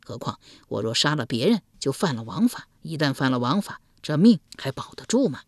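A woman voicing a scheming, cold-hearted ancient Chinese man who talks gruffly and scornfully. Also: rumbling low, very animated, fairly quick, no echo, studio quality, exaggerated voice. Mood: matter-of-fact. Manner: gruff, scornful and coaxing by turns.

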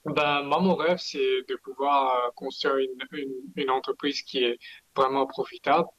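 A man answering as a guest in an unscripted panel interview, halting and often repeating words. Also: medium pitch, fairly even intonation, a bit bright, dry recording, lo-fi sound. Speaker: interview guest, entrepreneur in China.

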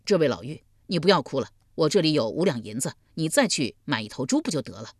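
A woman's voice: low-pitched, sing-song, quick pace, no echo, clean studio sound. A woman doing an earnest young nobleman. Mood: resolute. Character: kind-hearted young nobleman, earnest and brave.

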